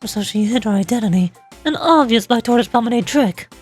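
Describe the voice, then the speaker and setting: A woman's voice: fairly high pitch, lively intonation, brisk, husky, soft background music. A woman voicing a tough, scornful gang girl in an anime game, blunt and combative. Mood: accusing.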